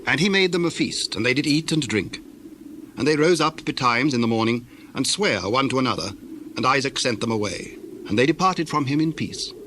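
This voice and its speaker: A man narrating scripture from a script in a measured, formal way. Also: mid-range voice, lively intonation, a bit bright. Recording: faintly noisy old recording.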